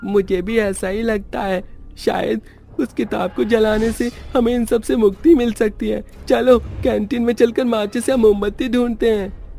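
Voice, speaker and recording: Man voicing a frightened schoolboy, very high-pitched. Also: little pitch movement, normal speed, vocal fry, dark tone, faint music behind. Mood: urgent. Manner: pleading, repeating himself in fright. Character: scared schoolboy trying to survive a haunted school. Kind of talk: animated horror story voice acting.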